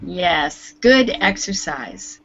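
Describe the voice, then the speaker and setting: A woman from Canada teaching a beginner English class online, speaking slowly and clearly and laughing often. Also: lowish voice, sing-song, a bit bright, a little hiss or hum. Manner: slow, clear teacher talk with frequent laughs. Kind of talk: friendly online class chat. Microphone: computer microphone on a video call. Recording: muffled lo-fi online call audio.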